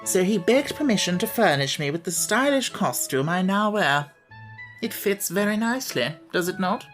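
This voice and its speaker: A woman's voice: mid-range voice, lively intonation, normal speed, faint music behind, pitched above the performer's own voice, natural voice. A woman voicing a pompous, overly polite male insect who fancies himself a scholar, speaking in elaborate phrases.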